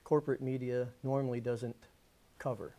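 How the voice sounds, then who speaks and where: A man's voice: medium pitch, fairly even intonation, normal speed, background noise. A man chatting casually as an interview guest, with an understated, dry manner. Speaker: magazine publisher, interview guest.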